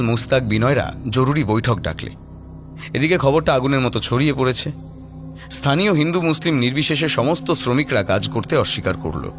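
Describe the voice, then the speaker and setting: A man narrating a scripted story in a dramatic storytelling style. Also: mid-range voice.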